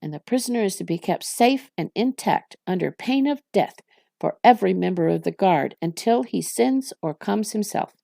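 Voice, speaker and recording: A woman's voice: medium pitch, lively intonation, normal speed, vocal fry, mellow, no room sound, clean studio sound. A woman voicing an irritable, growling male orc captain from a fantasy storybook, blustering and snarling. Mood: gruff, impatient.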